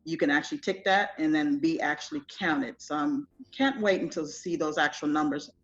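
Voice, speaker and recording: A woman's voice: low-pitched, a little fast, muffled recording, soft background music. A middle-aged woman from Trinidad and Tobago with a Trinidadian accent, speaking casually as an interview guest and often saying "you know". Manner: easygoing, conversational, frequent "you know". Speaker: festival founder, guest.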